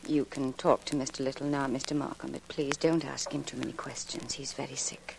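An actress playing a composed, dutiful professional employee in 1940s radio drama, polite and measured. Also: female voice, a bass voice, little pitch movement, creaky, music in the background. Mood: gently firm. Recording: noisy old radio recording.

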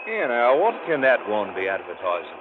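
A man's voice: fairly low pitch, huge pitch swings, normal speed. An actor playing a puzzled common Englishman on a late train, in a 1940s radio drama. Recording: tinny, noisy old radio recording.

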